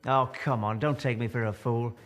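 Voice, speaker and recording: An actor playing a younger film comedian in a period drama, businesslike, challenging and insistent. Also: male voice, medium pitch, fairly even intonation, moderate pace, fairly bright tone, slight background noise, lightly acted. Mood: defiant.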